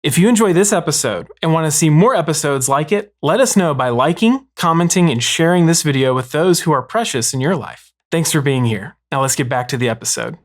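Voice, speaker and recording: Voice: medium pitch, expressive, brisk, few pauses. A host talking casually about books in an explanatory way, often rephrasing mid-thought. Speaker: show host. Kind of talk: casual book discussion.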